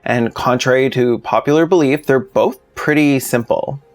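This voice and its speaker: A man's voice: medium pitch, moderate pace, soft background music. A man narrating a scripted commentary video in a plainspoken, informal way with sardonic asides. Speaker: video essayist, host.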